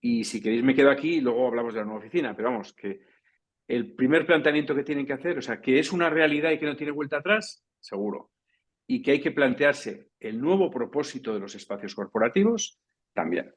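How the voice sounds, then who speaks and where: A man's voice: mid-range voice, lively intonation, a little fast, husky. A man speaking as a panelist in a professional round-table discussion, talking in a loose, discursive way and often checking in with the listener. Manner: discursive, tags points with "¿no?" and "¿vale?".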